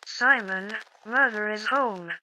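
A woman's voice: medium pitch, slow pace, sharp tone, slight background noise, low-quality recording. A woman's text-to-speech voice playing a proud, prim mother swan in a cartoon storybook. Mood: doting.

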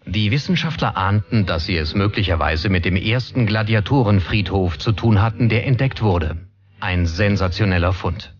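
A man's voice: on the low side, normal speed, slightly rough, lo-fi sound. A man reading scripted documentary narration as the narrator. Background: prominent background music.

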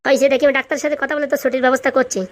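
Woman doing a cheeky cartoon village bloke, high voice, talks crazy fast, blunt. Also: little pitch movement, lightly acted. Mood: blustery. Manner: blunt, colloquial, dismissive. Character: blustery, cheeky village elder-brother figure. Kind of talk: comic cartoon dialogue.

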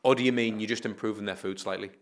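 A rapid, chatty man in his thirties from England hosts a podcast, his voice sitting a little low. He talks with plenty of enthusiasm and calls his guest mate. His voice comes through close on a studio mic. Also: steady tone. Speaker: podcast host. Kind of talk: casual interview talk. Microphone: studio mic on boom arm.

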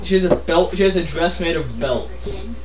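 A man's voice: fairly high pitch, lively intonation, normal speed. A man giving casual, joking game commentary, riffing in a silly, repetitive way. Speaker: let's play co-commentator. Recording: roomy, distant mic, faint noise.

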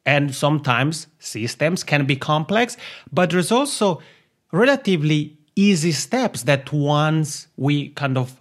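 A man's voice: a little high, animated, a little slow. A man with an Italian accent, a podcast guest chatting casually and laughing often. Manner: friendly, laughs often while talking. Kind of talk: casual interview chat. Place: lives in Finland.

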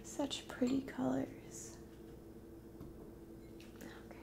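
Hushed, creaky-voiced young woman doing ASMR. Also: sits high, big pitch swings, extremely slow, poor audio. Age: 20s. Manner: gentle, hushed, drifting into whispers. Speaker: ASMR roleplay host. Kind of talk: soft-spoken ASMR roleplay, talking to the listener. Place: dim indoor room at a table.

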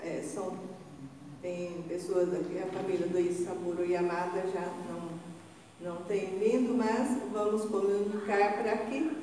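Slow older woman in an echoey hall. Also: on the low side, steady tone, warm tone, recorded from a distance, a little hiss or hum. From Brazil. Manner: slow, halting, with many fillers and restarts. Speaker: church leader giving announcements. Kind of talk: unscripted church announcements. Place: large church hall indoors, altar behind. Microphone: stand mic a little off. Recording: echoey hall recorded from afar.

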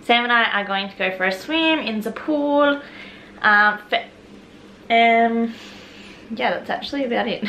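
A woman's voice: fairly high pitch, measured pace, pauses often, a bit bright, a little hiss or hum, poor audio. A woman in her twenties with an Australian accent, chatting casually as a vlogger to a handheld camera at arm's length, upbeat and rambling. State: out of breath after a workout. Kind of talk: casual vlog chat. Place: home kitchen and bedroom, also a gym.

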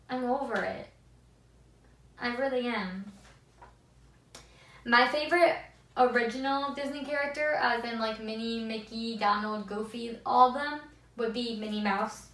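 A young woman with a squeaky voice chatting enthusiastically to the camera in a casual vlog, seated in a small room. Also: fairly bright tone. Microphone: far camera. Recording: echoey room, mic at a distance.

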